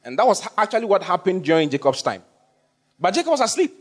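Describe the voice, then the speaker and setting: A male pastor delivers a sermon, his voice sitting a little high and swinging widely in pitch. He drives points home by repeating lines and asking the congregation questions. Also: very animated, quick pace, slightly rough. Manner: call-and-response with congregation, repeats phrases for emphasis.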